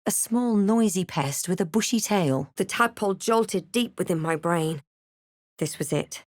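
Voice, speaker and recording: Casting: self-possessed female fantasy game spellcaster, lowish voice, dramatic and measured, very dry close recording. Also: lively intonation, no echo. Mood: grave, reflective. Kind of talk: video game voice lines, narration and incantations.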